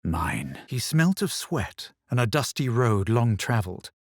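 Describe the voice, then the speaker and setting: An actor playing a haughty, brooding young adventurer in a fantasy video game, speaking in a measured, dry, superior way. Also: male voice, medium pitch, very animated, no echo. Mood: brooding, grave. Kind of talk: video game voice acting, dramatic narration and remarks.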